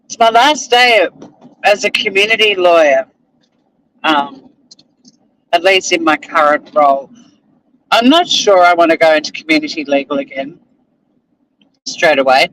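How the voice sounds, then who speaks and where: A man's voice: fairly high pitch, expressive, unhurried, long pauses, a bit bright, slight background noise, low-quality recording. A middle-aged Australian man vlogging casually from inside his car as he drives, rambling and self-deprecating, with casual swearing. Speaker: vlogger and lawyer. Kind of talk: casual chat to camera while driving. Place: inside a car while driving.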